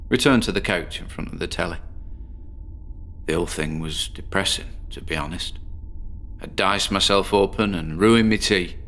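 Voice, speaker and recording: A man's voice: deep voice, fairly bright tone. An actor playing a lonely, downtrodden middle-aged man in a bedsit, in a radio drama, who talks in a rambling, digressive, self-deprecating way. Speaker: patient in a horror audio drama. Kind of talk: first-person radio drama monologue.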